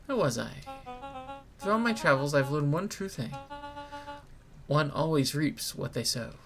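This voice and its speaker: A grandiose town benefactor performed by a woman holds forth in a low voice. He is folksy and rhetorical, leaning on his words like a video game politician at a podium. Music plays behind him. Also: very animated, unhurried, heavily acted. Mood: triumphant. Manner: rhetorical, emphatic oratory. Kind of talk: dramatic reading of video game dialogue.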